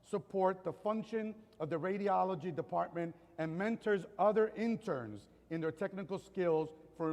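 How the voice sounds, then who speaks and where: A man reading a formal introduction of a graduate at a ceremony, measured with light joking asides, at a podium microphone. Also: high voice, darkish tone. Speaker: faculty member introducing a graduate. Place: ceremony hall. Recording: long hall reverb.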